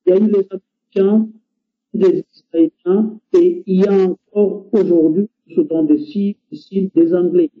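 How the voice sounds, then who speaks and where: A man's voice: high-pitched, fairly even intonation, unhurried. A man from Congo, not a native French speaker, earnestly exhorting listeners on a call-in show with frequent hesitations. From Democratic Republic of the Congo. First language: Lingala or another Congolese language. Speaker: guest speaker. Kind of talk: impassioned call-in talk. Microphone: internet call. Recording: tinny phone-like line.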